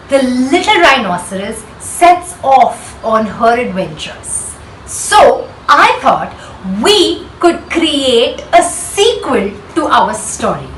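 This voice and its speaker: Slow, high-pitched Indian woman teaching children. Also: a bit bright. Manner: instructive, emphatic, rhetorical questions. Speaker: schoolteacher hosting a read-aloud for children. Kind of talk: children's lesson, explaining with questions. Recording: roomy echo, distant mic, faint noise.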